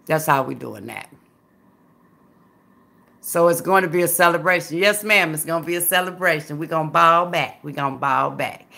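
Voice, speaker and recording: Gravelly-voiced Southern woman chatting with viewers. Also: sits low, normal speed, sharp tone. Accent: Southern American. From Memphis, USA. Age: 50s. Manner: folksy, chatty, calls viewers baby and girl. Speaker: livestream host chatting with viewers. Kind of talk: casual livestream chat.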